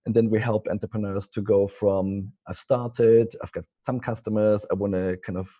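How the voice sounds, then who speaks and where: A man's voice: fairly low pitch, fairly even intonation, moderate pace, muffled recording. A middle-aged man from East Germany with a German accent, talking loosely as a podcast guest, with many fillers and restarts. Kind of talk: casual interview talk.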